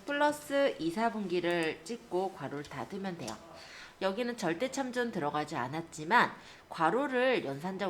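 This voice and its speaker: A woman teacher giving a step-by-step software tutorial, explaining politely to learners. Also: mid-range voice, a little hiss or hum.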